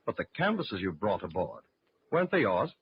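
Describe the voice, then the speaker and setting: A man playing an anxious, courteous gentleman passenger on a ship in an old-time radio drama. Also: fairly low pitch, very animated, normal speed, husky, heavily acted. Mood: polite, probing. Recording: dull, noisy old-time radio recording.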